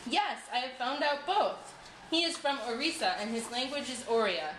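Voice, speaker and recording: A woman's voice: high voice, animated, normal speed, fairly bright tone. A woman voicing a clever, assured male court jester in a storybook tale. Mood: triumphant. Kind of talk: storybook character voice. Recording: echoey room with clear background noise.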